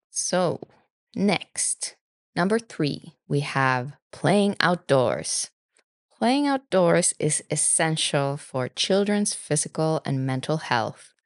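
A woman from Finland in her thirties, a native Finnish speaker, co-hosting a podcast with calm, explanatory reading. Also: sits low, no echo, clean studio sound. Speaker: podcast co-host. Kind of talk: scripted podcast narration.

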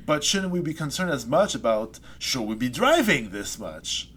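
A man, a poet being interviewed, talking things through slowly with many restarts and trailing pauses. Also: medium pitch, big pitch swings, a bit bright, breathy edge. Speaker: poet guest. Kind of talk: reflective interview chat. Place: Saskatoon resident.

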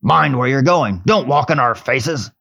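A man voicing a surly, cynical, stubborn dwarf in a storybook reading, with gruff, scornful grumbling. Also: medium pitch, expressive, normal speed, muffled recording.

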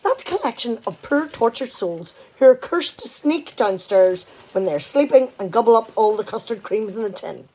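An actress playing an exasperated, sarcastic wife in a radio play comedy, talkative and full of indignant questions. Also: female voice, on the high side, big pitch swings, normal speed, hardly any pauses, a little mellow, husky, heavily acted, poor audio.

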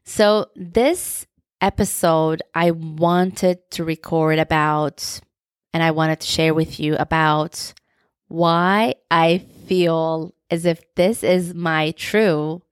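A woman's voice: deep voice, fairly even intonation, slow, clear, resonant tone, no room sound, studio quality. A young woman with a Turkish accent, hosting her own podcast, who talks in a reflective and openly personal way. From Turkey. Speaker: podcast host. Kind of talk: solo podcast monologue.

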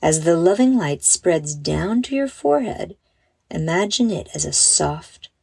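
Female meditation guide for kids: lowish, unhurried, gentle and encouraging, recorded close and dry. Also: animated, creaky, slightly rough, dry recording. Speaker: host and meditation guide for children. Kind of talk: guided meditation, scripted narration.

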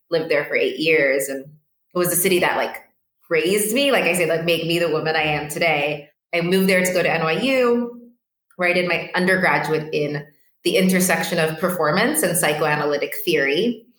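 A woman's voice: low voice, fairly bright tone, reverberant, rough recording. A woman in her late twenties, a guest coach, talking reflectively over a video call, often saying "like" and "right?". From born in Portugal, raised in Ethiopia. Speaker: podcast guest, empowerment coach. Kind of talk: casual interview talk.

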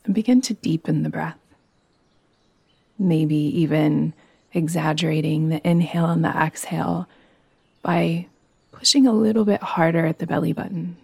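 A woman guiding a yoga nidra meditation in a soothing, gentle, unhurried manner. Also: sits low, creaky, breathy edge, no echo. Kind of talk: guided meditation.

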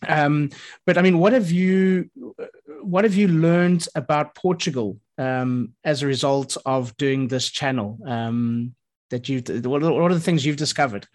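A man's voice: a little high, a little slow. A man hosting a casual interview, enthusiastic and prone to stumbling restarts as he asks questions.

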